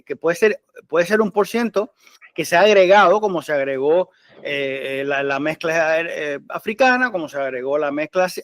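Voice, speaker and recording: A man explaining history in informal discussion, halting and often rephrasing himself. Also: fairly high pitch, no echo, clean studio sound.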